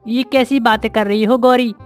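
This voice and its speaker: A woman's voice: on the high side, fairly even intonation, moderate pace, darkish tone, soft background music, close to an everyday voice. A woman voicing a food-loving, self-satisfied male crow, an entitled but easygoing husband in a children's cartoon story. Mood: demanding. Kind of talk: children's animated story acting.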